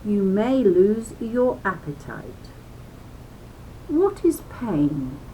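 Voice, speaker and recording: Woman, unhurried teacherly read-aloud for kids, emphatic with chatty asides, faint rumbling noise on the recording. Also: big pitch swings, warm tone, rough recording. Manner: teacherly, emphatic, addresses listeners with questions. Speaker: reader of a children's book.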